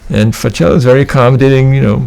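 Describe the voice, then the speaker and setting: An older man with a gravelly voice, telling stories in casual conversation in a halting, rambling way. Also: mid-range voice, steady tone, darkish tone. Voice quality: gravelly, creaky voice. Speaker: guest recounting record-collecting trips.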